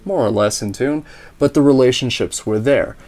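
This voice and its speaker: A man with a slightly raspy voice, teaching ear training: he explains in a relaxed, practical way and sings solfege scales and intervals. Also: mid-range voice, moderate pace, music in the background. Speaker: music teacher. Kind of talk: instructional talk with sung solfege drills.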